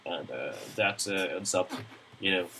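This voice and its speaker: Young man, Swedish accent, nasal from a cold, bright lowish voice, rambling tutorial talk, faint hiss. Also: measured pace, gravelly. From Sweden. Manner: rambling, chatty, many fillers and restarts. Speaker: tutorial narrator. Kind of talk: casual screen-recorded tutorial narration.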